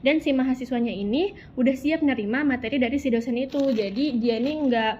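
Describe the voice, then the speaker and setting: A woman's voice: sits high, fairly quick, darkish tone. A young woman from West Java, a university student, answering interview questions in a chatty, informal way with lots of fillers. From West Java (Sundanese region), Indonesia. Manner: chatty, informal Jakarta slang, frequent fillers. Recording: muffled lo-fi audio with faint background noise.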